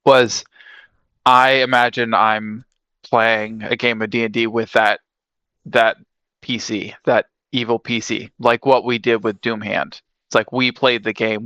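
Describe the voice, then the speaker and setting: Bright-voiced man chatting on a podcast. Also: lowish voice, no room sound. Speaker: podcast co-host. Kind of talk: casual explanatory podcast chat.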